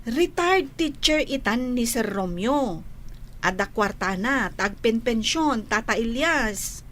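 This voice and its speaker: A woman's voice: fairly high pitch, lively intonation, takes it slowly, fairly bright tone, slight background noise. An actress playing a nosy, teasing village girl in an Ilocano radio comedy drama, speaking with an Ilocano accent. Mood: curious, teasing.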